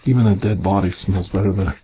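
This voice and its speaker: A man reading a picture book aloud in a soft, gentle, partly whispered ASMR bedtime style with casual asides, close to the microphone. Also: low-pitched, fairly even intonation, quick pace. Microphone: close binaural microphone. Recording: clear background noise, binaural ASMR microphone.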